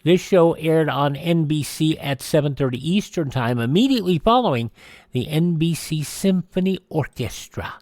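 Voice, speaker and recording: Casting: folksy male radio host, slightly high register, casual and discursive, dry studio-like sound. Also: lively intonation, moderate pace, a little mellow, no echo.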